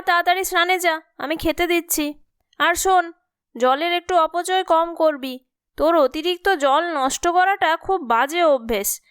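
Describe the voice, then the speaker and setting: A very high-pitched cartoon mother, middle-aged and worn out with nagging. She scolds and frets in a dry, close recording. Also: fairly bright tone, dry recording. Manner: scolding, fretful. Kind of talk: cartoon story acting.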